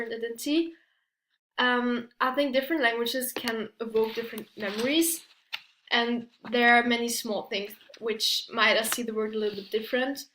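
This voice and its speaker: A woman giving a prepared academic presentation as a student, halting and stumbling over her words. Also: a little high, fairly bright tone, a little hiss or hum. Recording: echoey room.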